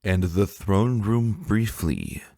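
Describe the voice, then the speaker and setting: A man giving a dramatic, scripted narration of a dark fantasy adventure story. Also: lowish voice, lively intonation, slow pace, vocal fry. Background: music.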